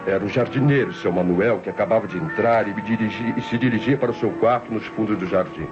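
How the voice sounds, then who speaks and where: Brazilian male actor as tense would-be killer narrating a radio thriller, formal and suspenseful, dull old recording, low pitch. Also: music in the background, close to an everyday voice. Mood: tense, stealthy. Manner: deliberate, suspenseful, formal storytelling. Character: nephew confessing his planned murder, tense and brooding. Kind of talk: 1960s radio mystery drama narration.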